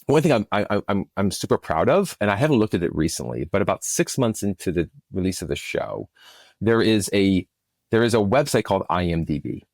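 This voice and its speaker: A man's voice: on the low side, expressive, a little fast, a little mellow, no echo, studio quality. A man, a producer and podcast guest, talking casually in an interview and explaining his work.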